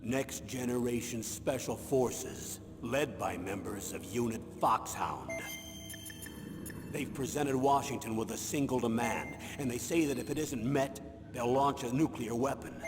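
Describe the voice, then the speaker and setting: An actor playing a stern older military commander in a video game, formal, measured and authoritative. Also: male voice, mid-range voice, music in the background, lightly acted. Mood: grave, measured. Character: stern older male military commander giving a mission briefing. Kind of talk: dramatic video game voice acting. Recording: noisy, long reverberant hall sound.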